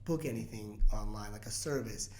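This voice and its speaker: A man's voice: on the low side, moderate pace, darkish tone. A man hosting an interview, asking loose questions with many fillers. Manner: loose, lots of "kind of". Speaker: host.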